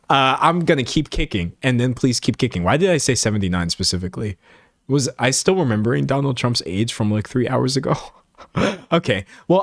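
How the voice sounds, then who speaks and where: A man in his late twenties hosts a casual livestream in a mid-range voice. He rambles in an animated, self-mocking manner, slipping into imagined remarks as he goes. The sound is dry, with very little room echo. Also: medium pitch. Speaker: livestream host. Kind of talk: casual chat, rambling monologue to viewers.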